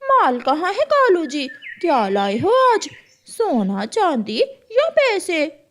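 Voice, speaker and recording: A brash cartoon crow wife, played by a woman, scolding and bossy. Her high voice swings widely in pitch as she puts on haughty airs. There is some noise in the recording. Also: huge pitch swings, slow pace, exaggerated voice. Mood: greedy, expectant. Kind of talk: children's cartoon story acting.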